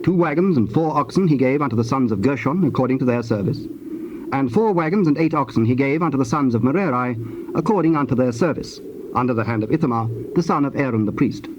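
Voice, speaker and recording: A man's voice: medium pitch, animated, fast talker. Guy reading bible narration, solemn and formal, dull old cassette sound w/ lots of noise. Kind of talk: scripted scripture narration.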